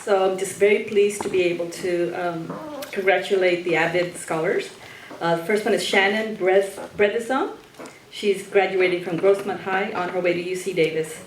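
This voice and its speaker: A woman's voice: lowish voice, fairly even intonation, normal speed. Woman presenting awards in an echoey hall. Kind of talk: semi-scripted announcing of scholars. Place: large hall with an audience. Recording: echoey hall, distant mic, faint noise.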